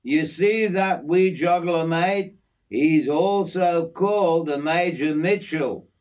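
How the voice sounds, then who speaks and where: A man's voice: a little high, takes it slowly, a little mellow, voice pushed up. A man doing a matey Australian workman. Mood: proud. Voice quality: broad slangy matey drawl. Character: friendly middle-aged Australian working man, a father. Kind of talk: storybook character voice.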